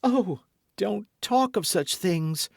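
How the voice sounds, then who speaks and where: A man's voice: a little high, animated, measured pace, no echo, clean studio sound, voice pushed up. A man reading an audiobook, voicing a proud, anxious lawyer's wife in a raised, pleading voice. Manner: piqued, pleading.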